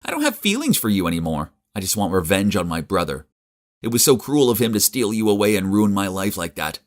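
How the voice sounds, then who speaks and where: A man voicing a scheming young woman who speaks in a mean, shrill tone. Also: big pitch swings, fairly quick. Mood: scornful. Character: scheming young woman, spiteful then pleading.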